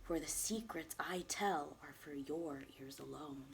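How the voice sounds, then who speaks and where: A woman voicing a secretive old hermit shopkeeper who grunts his words in a low whisper. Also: unhurried, vocal fry, background noise. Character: old reclusive hidden shopkeeper man, secretive.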